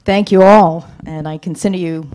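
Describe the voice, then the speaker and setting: A woman giving a thank-you speech as outgoing mayor at a city commission meeting, halting with many fillers and restarts. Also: fairly low pitch, steady tone, warm tone, slight background noise, rough recording. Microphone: fixed meeting microphone.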